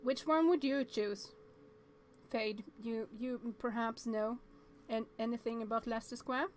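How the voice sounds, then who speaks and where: A woman streaming a game with casual, expressive commentary, thinking aloud and reading on-screen text as she goes. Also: fairly high pitch, a little slow, a little hiss or hum.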